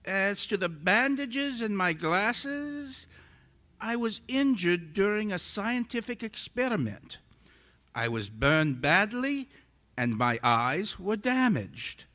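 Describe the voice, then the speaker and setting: An actor playing an arrogant, irritable scientist in a radio drama, with formal, pompous, melodramatic diction. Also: male voice, high voice, sing-song, slow, sharp tone, exaggerated voice. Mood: irritated. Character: arrogant, irritable male scientist, secretive and obsessed. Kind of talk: theatrical radio drama acting, journal narration.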